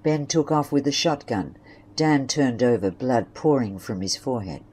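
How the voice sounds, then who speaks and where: A woman's voice: extremely low pitch, moderate pace, dark tone, slightly rough. A woman reading scripted narration for an audio drama story.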